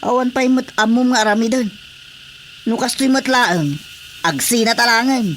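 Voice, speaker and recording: A man's voice: extremely high pitch, moderate pace, fairly bright tone, slight background noise. A man playing an aggrieved farming husband in a radio drama, speaking in an insistent, complaining way. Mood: scolding. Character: aggrieved farming husband fed up with his wife.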